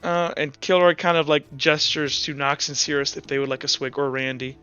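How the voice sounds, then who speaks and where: A man runs a tabletop game with informal, rambling narration. His voice is bright and very clear, slightly high, on a slightly muffled recording. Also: steady tone, a little fast, soft background music. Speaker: game master.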